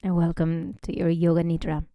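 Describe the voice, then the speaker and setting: A woman yoga teacher leading a guided relaxation meditation in a gentle, unhurried way, often softening into a breathy near-whisper. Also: on the low side, completely flat delivery, dry recording, lo-fi sound.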